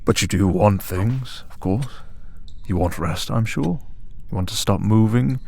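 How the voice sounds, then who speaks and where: A man performs a cultured vampire villain for an audio drama. The voice sits fairly low with plenty of fry. He mocks and needles in a leisurely, theatrical manner. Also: unhurried, mellow. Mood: persuasive. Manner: smooth, mocking, leisurely and theatrical. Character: taunting, urbane vampire villain serving a dark master. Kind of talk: scripted audio roleplay drama.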